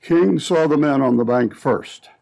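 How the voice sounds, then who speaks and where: A man's voice: fairly high pitch, measured pace, gravelly, a little mellow, rough recording. A man narrating an old-time radio adventure from a script, as the storyteller between scenes.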